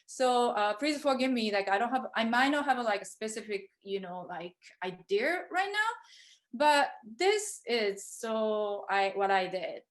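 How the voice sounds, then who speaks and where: A woman artist from Japan, whose first language is Japanese, talking over a video call in a halting, filler-heavy way. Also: fairly high pitch, lively intonation. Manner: hesitant, many fillers and restarts. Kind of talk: virtual studio visit talk.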